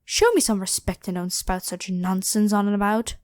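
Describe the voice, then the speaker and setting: A woman's voice: mid-range voice, fairly even intonation, normal speed, no room sound, clean studio sound, natural voice. A woman playing an evil, haughty ancient Egyptian pharaoh who makes theatrical demands in a scripted ASMR roleplay. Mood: haughty, weary. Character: evil, imperious ancient Egyptian pharaoh queen awakened from slumber.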